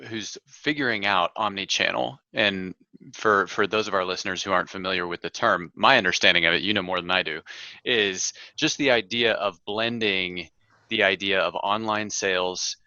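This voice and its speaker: A man's voice: sits low, normal speed, pushed and bright, no room sound, lo-fi sound. A man hosting a podcast interview, asking questions in a curious, casual way. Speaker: podcast host.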